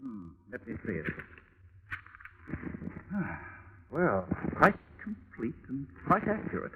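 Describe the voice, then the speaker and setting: Jovial English inspector, animated and hesitant, old-fashioned radio drama, tinny noisy recording. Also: male voice, medium pitch, slow. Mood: surprised, thoughtful. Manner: hemming and hawing, old-fashioned British phrasing. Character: jovial, hemming English police inspector, male. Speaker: police inspector. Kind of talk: 1940s radio drama acting.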